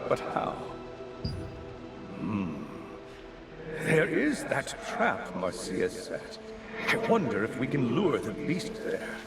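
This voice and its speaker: Fretting middle-aged dad, creaky voice, video game drama, protective, loud music. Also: male voice, mid-range voice, wildly swooping pitch, slow pace, long pauses, full character voice. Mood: anxious, pondering. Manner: fretful, musing to himself.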